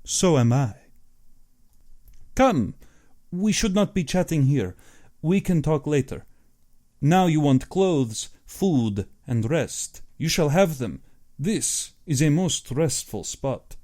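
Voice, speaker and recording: A man's voice: a little high, expressive, warm tone, pitched above the performer's own voice. A man voicing a suave, courtly aristocratic Russian general, an affable but sinister hunter, in an audiobook. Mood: courteous, commanding. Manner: courtly, measured, self-satisfied.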